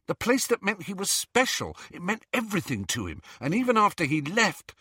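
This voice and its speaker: A man's voice: sits high, huge pitch swings, brisk, pushed and bright, husky, no echo, studio quality, voice pushed up, heavily acted. A man voicing an earnest, stubborn, argumentative teenage boy wizard in an audiobook. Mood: insistent, exasperated. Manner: insistent, argumentative. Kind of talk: audiobook character dialogue.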